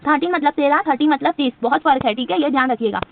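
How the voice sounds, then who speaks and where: A woman teaching spoken English, asking quiz questions and answering them herself, and drawing out her English example sentences slowly. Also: high voice, gravelly, phone-quality sound, slight background noise. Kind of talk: spoken English lesson for Hindi speakers.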